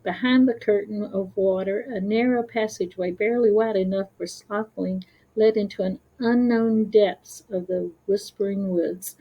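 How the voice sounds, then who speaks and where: A woman's voice: medium pitch, fairly even intonation, moderate pace, lo-fi sound. A woman storyteller reading a scripted children's story.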